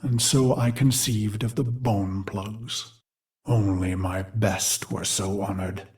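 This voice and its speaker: Slow, brooding warrior machine. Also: male voice, fairly low pitch, steady tone, darkish tone. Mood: grim, resolute. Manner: grave, theatrical, deliberate phrasing. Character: brooding male warrior machine mind recalling his brutal past. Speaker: game character narrator. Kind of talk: dramatic scripted game monologue.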